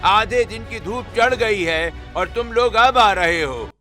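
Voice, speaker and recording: A man's voice: high voice, lively intonation, slow pace, bright, forceful tone, faint music behind, pitched above the performer's own voice, heavily acted. A man voicing an angry, scolding landlord who bullies his labourers in a cartoon story. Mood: angry, shouting. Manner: scolding, barking.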